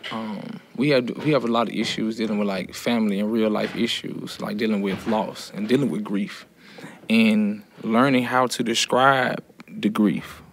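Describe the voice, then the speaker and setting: A man's voice: on the low side, steady tone, moderate pace. A man in a prison writing class speaking earnestly and reflectively, in talk and recited verse. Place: room inside a prison. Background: soft guitar music.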